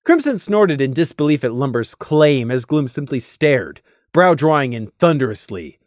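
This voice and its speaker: A man's voice: mid-range voice, very animated, moderate pace, no echo, studio quality. A man narrating a scripted fantasy story dramatically, as an audiobook narrator.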